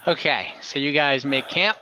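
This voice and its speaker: A man's voice: fairly high pitch, moderate pace, pushed and bright, slight background noise, low-quality recording. A man acting as game master, casually narrating scenes and chatting with his players over an online call.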